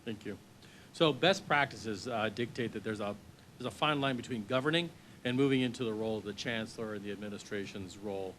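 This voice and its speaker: A man's voice: lowish voice, brisk, fairly bright tone, a little hiss or hum. A man moderating a candidate forum, calling on speakers and asking questions.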